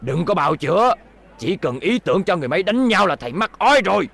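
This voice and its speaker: A man's voice: high voice, very animated, quick pace, gravelly, a bit bright, exaggerated voice. A man voicing a stern, indignant old schoolteacher in a cartoon dub, scolding and blustery. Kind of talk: cartoon dubbing.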